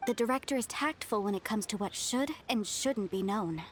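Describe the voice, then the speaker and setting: A woman playing a composed, guarded female funeral parlor attendant in a video game, measured and cautioning. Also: mid-range voice, animated, slight background noise, natural voice. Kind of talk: video game character acting.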